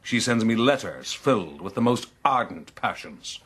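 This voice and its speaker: Suave rogue, very low husky voice, wry florid phrasing, radio drama storytelling. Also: male voice, expressive, normal speed, a bit bright. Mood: ardent. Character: suave, self-regarding Irish rogue recalling his exploits. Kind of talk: radio drama acting and narration.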